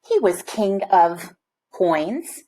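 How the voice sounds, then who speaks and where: Slow, expressive woman reading a storybook. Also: lowish voice. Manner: expressive, deliberate phrasing. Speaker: storybook reader. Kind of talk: read-aloud storytelling for children.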